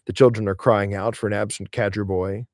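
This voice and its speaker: Male audiobook reader, lowish pitch, measured and expressive, close dry recording. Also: no echo. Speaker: reader of an essay aloud. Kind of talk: audiobook-style narration of a literary essay.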